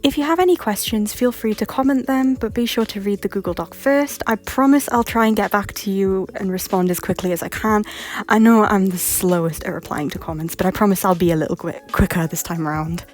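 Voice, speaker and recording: A woman's voice: mid-range voice, fairly quick. A woman reading a scripted announcement as a project creator, in a polite, explanatory way. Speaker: creator announcing a voice casting call. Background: background music.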